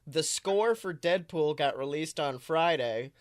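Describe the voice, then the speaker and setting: A man hosting a podcast in casual, chatty banter, excitable and jokey with theatrical emphasis. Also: a little high, unhurried, no echo, studio quality. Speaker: podcast host.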